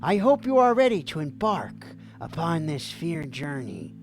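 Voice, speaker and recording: A woman hosting a livestream call-in show as a mock therapist, dreamy, theatrical and goofy, with a gravelly voice. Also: low voice, sing-song, measured pace, a little mellow. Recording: music playing behind the voice. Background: background music.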